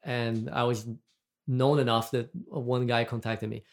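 Brazilian-accented man, podcast guest, chatty and rambling, mid-pitched everyday voice. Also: little pitch movement, a little slow. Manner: chatty, rambling, with frequent restarts and laughs. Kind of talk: casual chat.

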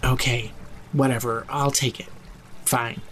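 A man's voice: mid-range voice, little pitch movement, unhurried, a bit bright, a little hiss or hum, natural voice. An actor as Nico, blunt exasperated male friend. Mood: sardonic, weary. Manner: blunt, sardonic, casual. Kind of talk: fiction podcast acting, naturalistic dialogue.

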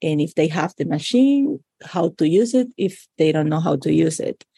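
Husky gravelly woman with a Dominican accent. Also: deep voice, expressive, normal speed, mellow, dry recording, studio quality. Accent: Dominican Spanish. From Dominican Republic. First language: Spanish. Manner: hesitant with many fillers, laughs often. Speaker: podcast guest, crafter. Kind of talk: casual interview answers.

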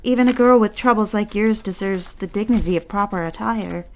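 A woman's voice: medium pitch, fairly quick, natural voice, poor audio. A woman playing an earnest, lonely young woman in an audio drama, prim and coaxing, eager to be a friend.

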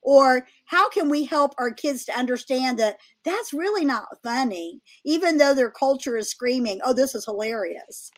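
A woman's voice: high voice, animated, moderate pace, few pauses. A woman hosting a faith-based discussion, asking her guests questions and encouraging them. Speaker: host.

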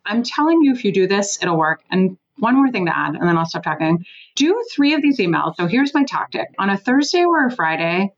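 A woman originally from Switzerland, a sales consultant guest giving emphatic, animated advice in an interview, with some casual swearing. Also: mid-range voice, highs cut off.

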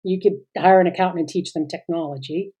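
A woman's voice: fairly low pitch, steady tone, quick pace, warm tone, breathy edge. A middle-aged woman co-hosting a podcast in casual chat, talking hesitantly with many fillers and trailing sentences. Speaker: podcast co-host.

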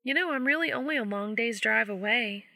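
A woman playing an eager, higher-voiced young woman. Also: brisk, creaky, sharp tone, no room sound, studio quality. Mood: subdued, cautious. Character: eager young woman, excitable, then cautious and subdued.

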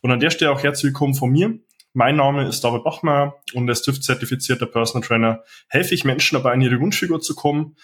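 A man's voice: mid-range voice, fast talker, few pauses, a bit bright. A man hosting an interview podcast as a personal trainer, asking structured, earnest questions.

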